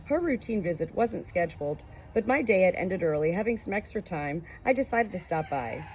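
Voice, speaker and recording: A woman narrating a memoir aloud in an expressive, emotive way. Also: lowish voice, normal speed, a little hiss or hum, low-quality recording. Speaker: audiobook reader.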